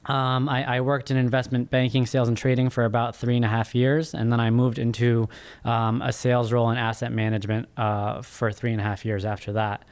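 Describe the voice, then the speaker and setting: A man in his thirties with a smooth, clean voice at an average pitch answers as a podcast guest. He sounds earnest and measured, often halting between phrases as he tells his own story. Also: level tone. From born in Egypt, immigrated as a small child. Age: 30s. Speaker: podcast guest, first-time author. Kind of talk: interview answers, personal storytelling.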